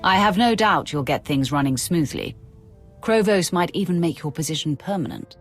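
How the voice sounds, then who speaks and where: An actress playing a composed, commanding Sith warrior in a video game, speaking formally and with authority. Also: female voice, fairly low pitch, lively intonation, normal speed, faint music behind, lightly acted. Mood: approving. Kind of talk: dramatic video game acting.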